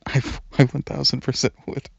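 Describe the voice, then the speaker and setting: Young male ASMR rambler: hushed, hesitant, breathy and unhurried, on a dull, close-miked lo-fi recording. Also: mid-range voice, completely flat delivery, no room sound. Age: young adult. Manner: soft-spoken, hesitant, drifting into near-whispers. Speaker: ASMR channel creator.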